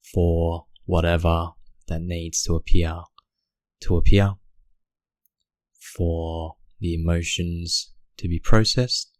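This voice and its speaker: Man, meditation guide, flat intonation, very slow pace, gentle delivery, long pauses. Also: sits low, no room sound. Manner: gentle, unhurried, short phrases with long pauses.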